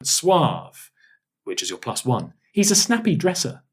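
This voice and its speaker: A man's voice: on the high side, huge pitch swings, measured pace, breathy edge. A man hosting a podcast, chatting casually with a guest in a teasing, jokey way. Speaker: podcast host. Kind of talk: casual chat, interviewing a guest.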